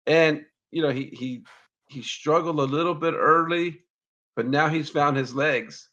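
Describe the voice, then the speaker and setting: Bright-voiced man, casual baseball chat. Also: on the high side, muffled recording. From Mexican roots. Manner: halting, repeats words, easygoing. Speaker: baseball podcast co-host.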